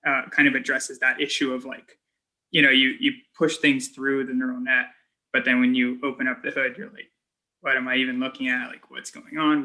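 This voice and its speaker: Bright-voiced young man asking hesitantly. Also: medium pitch, rough recording. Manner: hesitant, laughs lightly, halting questions. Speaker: fellow Fulbright student. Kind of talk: casual question over video call.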